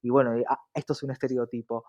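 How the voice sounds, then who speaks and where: A man's voice: medium pitch, flat delivery, fast talker, darkish tone. An Argentine man speaking earnestly and reflectively as an interview guest, often checking in with short tag questions. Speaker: interview guest, diversity activist.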